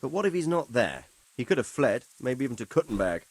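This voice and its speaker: A medieval lord for a video game cutscene. His voice sits at a medium pitch. He speaks in formal, measured sentences, grave and commanding, with firm authority. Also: very animated, slightly rough, low-quality recording. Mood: inquiring, composed. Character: authoritative nobleman lord, measured, grave, stern about justice.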